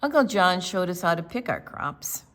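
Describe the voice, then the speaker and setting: Low-pitched woman reading a storybook. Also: big pitch swings, normal speed, fairly bright tone. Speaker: library storytime reader. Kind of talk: read-aloud picture book narration for children.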